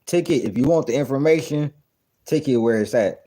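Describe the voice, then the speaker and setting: A man's voice: medium pitch, brisk, warm tone, low-quality recording. A man in his thirties giving blunt, profane, incredulous commentary while reacting to a clip, often repeating his points. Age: 30s. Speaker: reaction video host.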